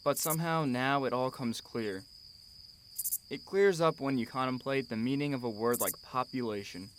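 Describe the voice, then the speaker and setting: A man plays a gentle expectant father in a radio drama. He speaks earnestly and tenderly, in a reflective way, over faint background noise. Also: medium pitch, normal speed, lightly acted. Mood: solemn, wistful.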